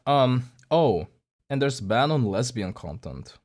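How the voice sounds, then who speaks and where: A man streaming, giving casual commentary in a halting, rambling way with many restarts. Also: mid-range voice, sing-song, a little mellow.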